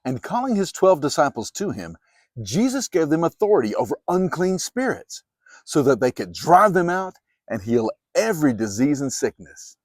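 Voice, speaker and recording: A man narrating scripture as a dramatized story, with expressive, clearly phrased delivery. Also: on the high side, normal speed, dry recording, studio quality. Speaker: Bible narrator.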